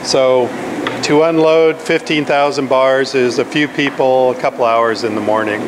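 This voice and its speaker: A man in his sixties, a company owner, giving a steady, matter-of-fact tour of his factory with frequent pauses, filmed on a nearby camera. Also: medium pitch. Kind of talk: explanatory walking tour. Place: large indoor factory and warehouse. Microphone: handheld camera close by. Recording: factory noise under a camera mic. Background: loud machinery and air-conditioning hum.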